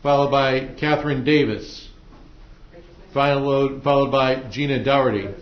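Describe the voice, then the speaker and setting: An American man presiding as a judge in a courtroom, speaking in an easygoing, halting, conversational way to lawyers and jurors. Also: medium pitch, steady tone. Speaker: judge presiding over a trial. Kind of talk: courtroom proceedings, informal instructions to jurors. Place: indoor courtroom. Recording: echoey, muffled courtroom audio with noise.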